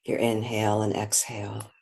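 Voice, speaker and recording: A soft-spoken woman leading a guided meditation, pausing between short phrases. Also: extremely low pitch, level tone, vocal fry, husky, low-quality recording.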